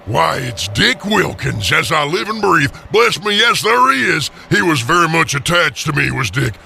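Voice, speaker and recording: A man playing an emotional, remorseful old miser with a gravelly, raspy voice in an audio drama. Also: a little high, sing-song, normal speed, bright, forceful tone, soft background music, voice pushed up, heavily acted. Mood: excited, delighted. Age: older.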